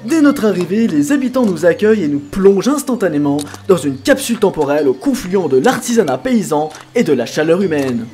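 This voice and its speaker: A man acting a courteous, pompous field reporter in a radio parody. His pitch swings widely through flowery phrasing, easily flustered, with music behind him. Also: big pitch swings, brisk, hardly any pauses, dark tone. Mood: pompous, cheerful.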